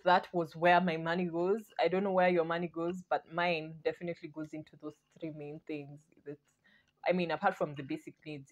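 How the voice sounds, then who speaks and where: Young woman, from Kenya, lowish voice, casual vlog, thinks aloud, bedroom, close camera mic. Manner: chatty, repeats "basically", thinks aloud. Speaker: vlogger. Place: bedroom, indoors.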